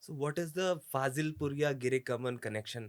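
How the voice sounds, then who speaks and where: A man's voice: mid-range voice, measured pace, no echo, studio quality. A man hosting a podcast, asking friendly questions and mixing English phrases into his talk. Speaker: podcast host. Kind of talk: interview questions.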